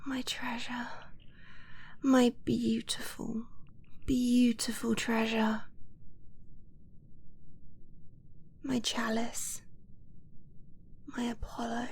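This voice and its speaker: A woman playing an affectionate young dragonblooded girlfriend in an intimate ASMR romance roleplay, speaking in a breathy, hushed way with hesitant pauses. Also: fairly high pitch, slight background noise. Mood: adoring, pleading.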